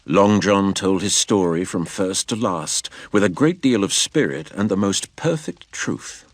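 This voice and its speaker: An actor playing a man recounting his boyhood sea adventure, in measured, literary scripted narration over faint ambience. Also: sits low, lively intonation, no room sound. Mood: reflective. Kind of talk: scripted narration in audio drama.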